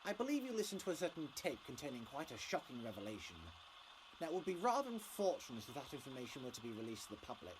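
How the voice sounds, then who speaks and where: Man playing a smugly menacing official, lively pitch. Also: fast, background noise, close to an everyday voice. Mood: cold threat. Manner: formal, condescending, veiled threats. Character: menacing, smugly polite government enforcer interrogating people. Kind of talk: audio drama acting.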